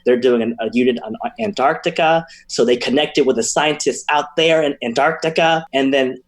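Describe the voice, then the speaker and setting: A man's voice: mid-range voice, moderate pace. A man, a guest educator, enthusiastically explaining teaching ideas in a casual podcast discussion, often restarting his sentences.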